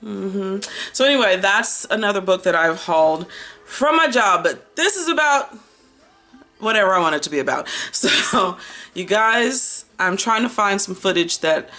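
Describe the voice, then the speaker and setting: An American woman in her early fifties chatting casually about books in an expressive, slangy, playful and rambling way. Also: medium pitch, a little slow, soft background music. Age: early 50s. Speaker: book vlogger. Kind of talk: casual chatty book haul and review.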